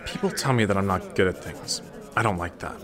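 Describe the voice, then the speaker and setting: A man's voice: sits low, fairly quick, crowd noise, lightly acted. A man playing a sullen, reluctant teenage boy student who mumbles short answers. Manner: sullen, mumbling, few words. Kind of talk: amateur radio drama acting.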